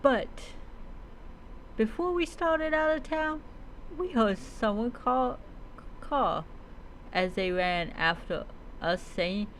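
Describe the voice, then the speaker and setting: A woman's voice: on the high side, lively intonation, slow, long pauses, slight background noise, rough recording. A woman reading a first-person adventure story aloud as a role-play storyteller, stumbling and repeating words as she goes.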